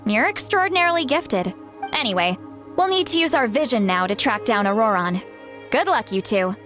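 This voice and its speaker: Casting: centuries-old shaman with a youthful, highish voice, confident, breezy, prone to fretting, game music underneath. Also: expressive, moderate pace, fairly bright tone. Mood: confident, instructive. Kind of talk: video game character acting.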